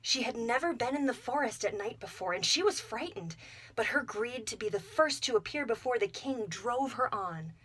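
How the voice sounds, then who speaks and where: A woman reads a children's storybook aloud, her voice somewhat high and clearly expressive. She tells the tale at an even, warm storyteller's pace, giving each line careful shape. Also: normal speed.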